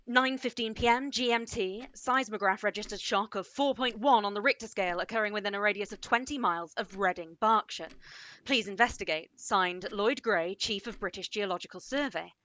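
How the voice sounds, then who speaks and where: An actress playing a female radio reporter in a radio play, briskly announcing and getting flustered at a strange scene. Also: medium pitch, sharp tone, natural voice. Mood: poised. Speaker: radio commentator. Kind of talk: amateur radio play acting.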